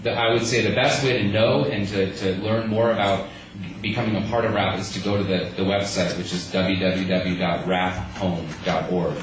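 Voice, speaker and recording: A man's voice: deep voice, fairly even intonation, moderate pace, slight background noise. A man giving an earnest speech as a community organizer, with many restarts. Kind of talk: speech at a rally. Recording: echoey room, speaker far from mic.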